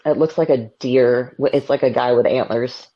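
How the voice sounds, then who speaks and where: A deep-voiced woman guest who chats in a relaxed way and lists things off. Her voice comes through a somewhat dull, muffled stream connection. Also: a little fast. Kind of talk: casual chat on a live stream.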